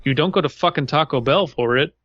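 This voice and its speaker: A man's voice: on the high side, brisk, no echo, dull audio. An American man from California co-hosting a podcast in casual, sweary, ranting chat. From California, USA. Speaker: podcast host.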